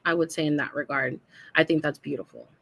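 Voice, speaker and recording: A woman co-hosting a casual podcast chat, talking in an animated, chatty way and thinking aloud as she goes. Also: sits low, brisk, gravelly. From Puerto Rican heritage, raised in the US. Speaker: podcast co-host.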